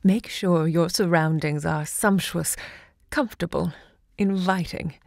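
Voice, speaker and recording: Elegant self-assured salon lady, lowish voice, very dry sound, audio drama, savours her words. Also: unhurried, vocal fry, darkish tone, dry recording. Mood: poised, instructive. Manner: poised, theatrical, savouring each word. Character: elegant, self-assured literary salon hostess, sapphic bohemian.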